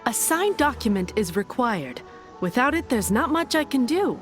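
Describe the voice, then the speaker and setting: Fantasy game nun: highish, expressive, formal, courteous yet unbending. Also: female voice, moderate pace. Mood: guarded, businesslike. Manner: formal, pious, curtly polite. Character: courteous but firm young nun, primly dismissive. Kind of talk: fantasy video game voice acting. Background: background music.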